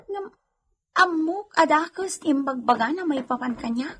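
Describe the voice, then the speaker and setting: A woman's voice: high voice, animated, moderate pace, a bit bright. A woman playing a nervous comic servant in a radio drama, jittery and muttering to herself, with noise behind her. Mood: puzzled, scheming. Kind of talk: radio comedy drama acting. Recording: noticeable background noise.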